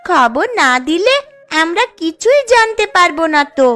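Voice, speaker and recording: A woman's voice: extremely high pitch, sing-song, normal speed, fairly bright tone, soft background music, heavily acted. A woman voicing a caring, eager young village grandchild in a cartoon story. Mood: earnest.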